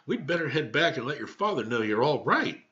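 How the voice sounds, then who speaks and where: A man's voice: mid-range voice, big pitch swings, brisk, sharp tone. A man voicing a fussy, affectionate beetle mother in a storybook, anxious and doting. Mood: brisk. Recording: dull webcam microphone sound.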